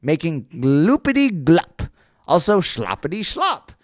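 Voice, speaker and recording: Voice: mid-range voice, big pitch swings, slow pace, no echo, clean studio sound, pitched above the performer's own voice. A reader voicing a small, cranky male forest creature who scolds and pleads in rhyme. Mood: scolding.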